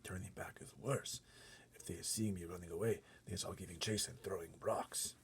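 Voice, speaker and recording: A man voicing a gentle, nervous giant who speaks in a hushed way with folksy, muddled grammar. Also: deep voice, fairly even intonation, moderate pace, creaky, slight background noise. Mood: explaining. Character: gentle, nervous friendly old-fashioned giant.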